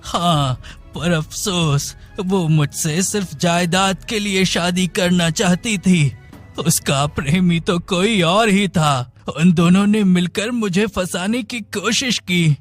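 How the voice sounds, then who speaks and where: A man voicing a cunning blind schemer who puts on a plaintive, melodramatic manner in an animated story. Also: high voice, takes it slowly, soft background music. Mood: wistful. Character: scheming blind man feigning helpless, wronged innocence. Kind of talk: animated moral story dialogue.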